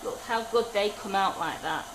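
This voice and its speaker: A woman's voice: mid-range voice, normal speed. A woman with a Manchester accent demonstrating a recipe live in a kitchen, talking through each step as she works. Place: home-style kitchen. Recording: echoey kitchen with background hum.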